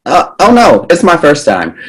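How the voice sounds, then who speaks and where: A man's voice: a little high, wildly swooping pitch, normal speed, a little hiss or hum, poor audio. A man reading the part of a cocky, mischievous teenage boy at a script table read, teasing and sarcastic. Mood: smug, teasing.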